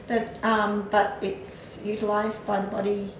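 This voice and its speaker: A woman's voice: mid-range voice, completely flat delivery, measured pace, slight background noise. A woman explaining vitamins and herbs in a casual chat, with lots of fillers and small laughs. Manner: chatty, explanatory, with frequent fillers and light laughs. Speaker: clinic staff giving supplement advice. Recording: echoey room, mic at a distance.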